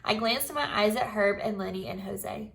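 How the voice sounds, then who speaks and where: A woman teacher giving an expressive storybook read-aloud, speaking directly to young pupils. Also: mid-range voice, normal speed, creaky. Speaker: primary school teacher.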